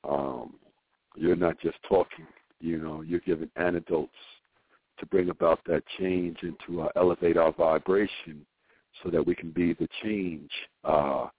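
A hoarse man calling in to a radio show, talking in an earnest, rambling way with many fillers. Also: deep voice, steady tone, measured pace, no room sound. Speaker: caller. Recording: dry, tinny phone line.

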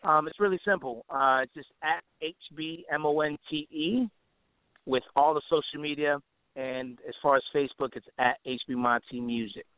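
A man's voice: fairly high pitch, normal speed, no echo. Easygoing man on a tinny phone line. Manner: easygoing, slangy, appreciative. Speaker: guest, musician and entertainer. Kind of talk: casual interview chat.

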